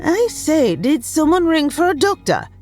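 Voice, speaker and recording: A woman's voice: high-pitched, very animated, moderate pace, a little mellow, faint music behind. A woman playing a cheery, eccentric alien time traveller in an audio drama, chatty and quaintly polite. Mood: breezy, playful. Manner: chatty, breezy, quaintly polite British phrasing.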